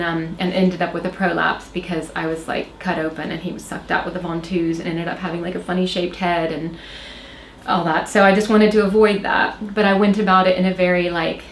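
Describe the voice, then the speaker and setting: A woman in her thirties from Oklahoma, American with a slight Irish lilt, telling a personal story hesitantly, with many fillers and restarts. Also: on the low side. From Oklahoma, USA. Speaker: interview guest. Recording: roomy echo, faint background noise.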